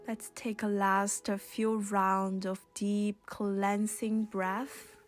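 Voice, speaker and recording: Slow, even-toned woman guiding yoga over music. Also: medium pitch. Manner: soothing, gentle, unhurried guiding with pauses. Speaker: yoga instructor. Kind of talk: guided yoga and meditation instruction.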